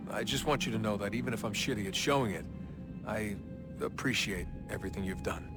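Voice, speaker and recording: A man's voice: lowish voice, a little fast, loud music behind the voice, close to an everyday voice. An actor playing a blunt, frustrated young American man, a reluctant hero in a video game. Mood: sincere, apologetic. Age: young adult. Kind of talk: naturalistic video game acting.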